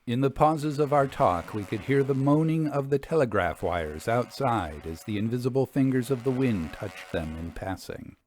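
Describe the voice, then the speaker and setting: A man reading an old literary ghost story aloud in a measured, formal storytelling manner. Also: mid-range voice, animated, darkish tone, slight background noise. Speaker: narrator reading a short story.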